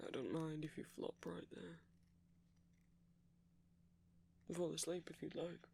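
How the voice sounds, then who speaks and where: Man playing a doting villain boyfriend, ASMR romance roleplay, husky near-whisper, gravelly fry, slow and soothing. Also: on the high side, fairly even intonation, a little mellow. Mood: soothing, tender. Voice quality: breathy, often near-whispered.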